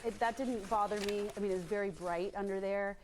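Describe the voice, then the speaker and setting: A woman's voice: mid-range voice, steady tone, brisk, a little mellow, a little hiss or hum. A woman from Maine, a free diver, telling her story in a casual interview, chatty and rambling with many fillers. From Maine, USA.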